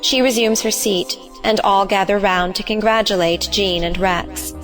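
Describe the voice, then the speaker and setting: A woman reading stage directions as scripted narration, measured and matter-of-fact. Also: mid-range voice, fairly even intonation, fairly bright tone, faint music behind, low-quality recording.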